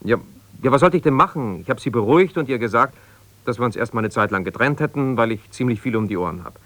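An actor playing an evasive, ambitious lawyer whose marriage has broken up, talking haltingly and reassuringly yet with exasperation in a naturalistic radio drama. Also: male voice, mid-range voice, animated, fairly bright tone, no room sound, close to an everyday voice. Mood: irritated, sardonic. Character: ambitious lawyer husband, evasive, placating, strained, newly separated. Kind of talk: naturalistic 1970s German radio drama, one-sided phone calls.

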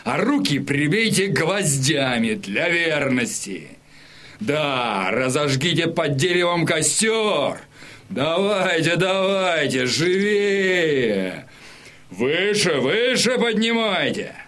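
Nasty gloating polish officer, highish voice, very swingy delivery, barking contemptuous orders. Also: male voice, sing-song, slow, a bit bright, exaggerated voice. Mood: cruel, commanding. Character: cruel, gloating male Polish commander, enemy of Cossacks. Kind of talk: dramatised audiobook acting.

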